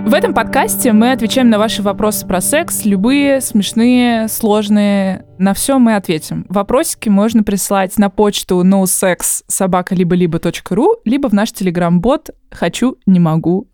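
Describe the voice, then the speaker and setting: A woman's voice: mid-range voice, normal speed, few pauses, a little mellow, no echo, faint music behind. A woman in her twenties hosting a casual podcast, playful and laughing often. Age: 20s. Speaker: podcast host. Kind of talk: casual comedic podcast chat.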